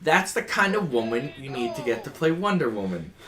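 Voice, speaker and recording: A man's voice: medium pitch, sing-song, brisk, a bit bright. A young man giving casual, profane gameplay commentary while playing a retro game with a friend, rambling and mocking. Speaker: let's player.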